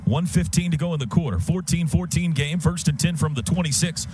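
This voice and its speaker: A man's voice: on the high side, fairly quick, a little hiss or hum. A man doing live radio play-by-play of a football game, describing each play in clipped, vivid phrases. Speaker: play-by-play announcer. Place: stadium radio booth. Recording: radio broadcast over loud stadium sound.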